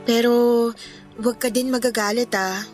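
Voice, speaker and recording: A woman plays a respectful young Filipina in a radio drama, speaking in a high voice. She is considerate but candid, with faint music under her words. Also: steady tone, moderate pace, natural voice. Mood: candid, careful.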